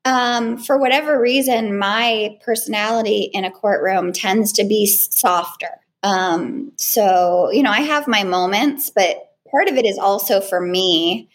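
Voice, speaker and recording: A woman trial lawyer with a small, girlish voice, answering interview questions in a relaxed way with frequent ums and restarts. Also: medium pitch, unhurried, hardly any pauses. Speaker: trial lawyer and cross-examination trainer.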